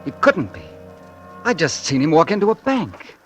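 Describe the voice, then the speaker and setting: An actor playing a bitter, vengeful ex-convict, narrating and speaking in a hard-boiled, brooding 1940s radio drama style. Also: male voice, on the high side, sing-song, normal speed. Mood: brooding, haunted. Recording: old radio broadcast with loud music behind.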